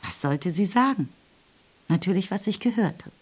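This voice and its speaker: A woman's voice: medium pitch, expressive, normal speed, darkish tone, breathy edge. A woman giving composed, slightly wry literary narration for a German radio play. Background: music.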